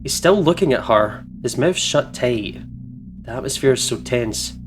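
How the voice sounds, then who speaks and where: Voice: mid-range voice, expressive, moderate pace, soft background music. A game streamer giving casual, playful and reactive commentary while reading a visual novel's narration aloud. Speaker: game streamer, let's play host.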